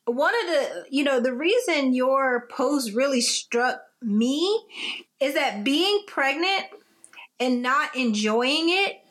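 A pregnant woman co-hosting a casual talk show, animated, laughing often and acting out what people say. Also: high-pitched, slow pace. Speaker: podcast co-host. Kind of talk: casual conversational interview.

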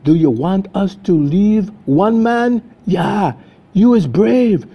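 A man's voice: high voice, expressive, slow pace, creaky, warm tone, slightly rough, pitched above the performer's own voice, exaggerated voice. A man voicing a bold, menacing and commanding pirate captain with a Jamaican accent in a storytelling performance.

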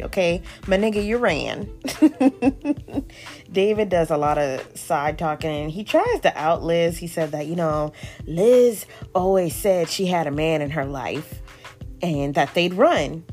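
A woman hosting a podcast, giving a sassy, opinionated recap of a dating show with lots of slang and quick asides. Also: low-pitched, animated, creaky, a little mellow, faint music behind. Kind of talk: casual recap commentary.

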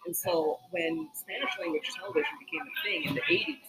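A woman from Chicago hosting a casual podcast chat, upbeat and quick to laugh. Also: sits low, a crowd in the background, rough recording. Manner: laughs often, chatty and upbeat. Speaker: podcast host.

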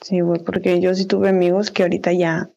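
A woman's voice: on the low side, level tone, a little fast, gravelly, warm tone, lo-fi sound. A Mexican woman in her twenties talks casually on a podcast with a friend. Her speech is loose and full of slang, with frequent repetitions and easy laughter. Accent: Mexican Spanish. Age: 20s. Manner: slangy, repeats herself, laughs often. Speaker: podcast co-host. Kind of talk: casual chat.

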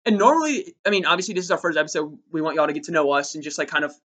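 A loud eighteen-year-old man with a drawl, co-hosting a school podcast in rambling casual chat. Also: fairly high pitch, lively intonation, very fast. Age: young adult. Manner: loud, rambling, self-interrupting. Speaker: student podcast co-host.

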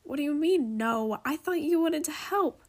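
A woman's voice: a little high, flat delivery, takes it slowly, breathy edge, full character voice. Woman as a fiery, argumentative young female dragon. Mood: indignant. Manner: forceful, argumentative.

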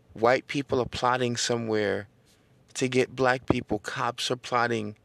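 A middle-aged man with a smooth voice hosting a political podcast, talking straight to his listeners and building into an emphatic, preacher-like cadence. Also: mid-range voice, no room sound. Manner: addresses listeners directly, seeks call-and-response. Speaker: podcast host. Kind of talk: casual political podcast monologue.

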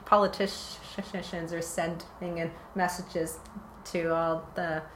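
A woman's voice: on the low side, flat delivery, slow pace, fairly bright tone. A woman from Canada, a researcher guest in a casual interview, speaking hesitantly with many fillers and frequent laughs. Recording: roomy with faint ambient noise.

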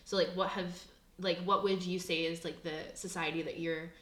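A woman's voice: lowish voice, little pitch movement, normal speed, a bit bright, echoey room. A young woman hosting a student podcast, asking questions in a chatty way that is full of "like". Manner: chatty, heavy use of "like". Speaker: podcast host. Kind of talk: casual podcast interview.

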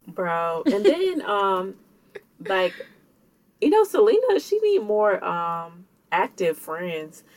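A woman's voice: medium pitch, big pitch swings, slow pace. A woman co-hosting a podcast, chatting loosely with slang and leading segments. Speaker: podcast co-host. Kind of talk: casual podcast chat.

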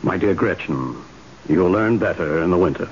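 An actor playing a calm, authoritative doctor in an old-time radio drama, measured and explanatory. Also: male voice, low voice. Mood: calm, grave. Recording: hissy, muffled old radio broadcast.

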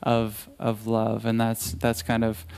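A man who is a singer-songwriter casually introducing a song at an open mic, haltingly searching for his words. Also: fairly low pitch, monotone, vocal fry, darkish tone.

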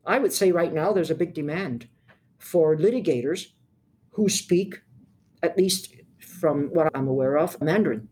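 An older woman from Hong Kong speaks as a seasoned lawyer in an interview. She answers at a measured pace, frank and direct, and lands her key points with emphasis. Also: low voice, mellow.

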